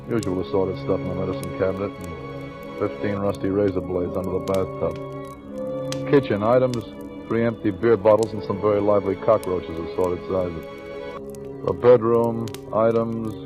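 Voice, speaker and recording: An actor playing a dry, world-weary, methodical police lieutenant in a 1940s radio crime drama, terse and deadpan. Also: male voice, low voice, close to an everyday voice. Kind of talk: 1940s radio crime drama acting and first-person narration. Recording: old radio recording, music behind.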